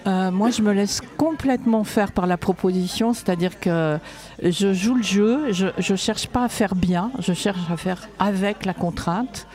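A woman's voice: medium pitch, a little slow, darkish tone. A woman poet and workshop leader answering interview questions in a reflective, light-hearted way.

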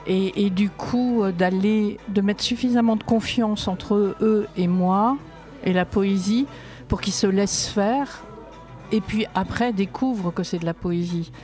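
A woman's voice: medium pitch, measured pace, darkish tone, soft background music. A woman poet and workshop leader answering interview questions in a reflective, light-hearted way.

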